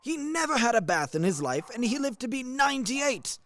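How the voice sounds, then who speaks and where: Man playing a high, blustering, pompous army major. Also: very animated, fairly quick, fairly bright tone, full character voice. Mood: grumbling, opinionated. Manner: blunt, pompous, grumbling. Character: blustering, old-fashioned, middle-aged male army major and husband. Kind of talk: radio play acting.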